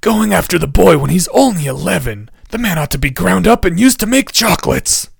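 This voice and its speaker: A man voicing a thin, grumpy old male shopkeeper in a storybook reading, with a gravelly, scolding voice. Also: sits high, very animated, fairly quick, no room sound, studio quality, pitched above the performer's own voice, full character voice. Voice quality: gravelly, snappish. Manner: gruff and scolding.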